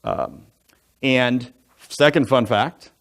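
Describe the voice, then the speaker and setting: A man in his forties, an elder from the United States, telling his life story to a church congregation in a relaxed, conversational way, full of asides and jokey fun facts. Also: medium pitch, extremely slow, vocal fry. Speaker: church elder giving a testimony. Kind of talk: personal testimony talk from the pulpit. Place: church sanctuary.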